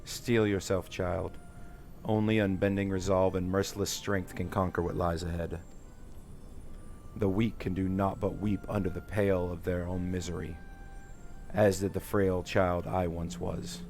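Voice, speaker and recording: A man's voice: low-pitched, little pitch movement, unhurried, darkish tone, faint music behind. A man voicing a merciless, imperious villain from a fantasy game, speaking in solemn, archaic phrases. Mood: stern.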